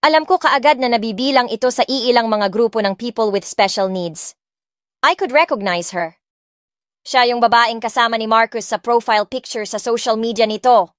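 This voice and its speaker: A woman's voice: fairly high pitch, moderate pace, no echo, studio quality. A woman with a Filipino accent reading dramatic first-person scripted narration as an audiobook narrator. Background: soft music.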